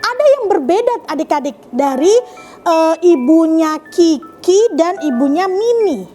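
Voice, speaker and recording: Very high-pitched woman telling children's stories. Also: expressive, a little slow, mellow, faint music behind. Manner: exclaiming, questioning the young audience. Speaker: children's storyteller. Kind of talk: interactive storytelling for children.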